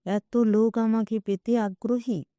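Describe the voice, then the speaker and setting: A woman's voice: fairly high pitch, steady tone, slow pace, mellow, no echo. A woman reading a scripted literary story in the first person, with a wry, dramatic manner. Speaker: story narrator.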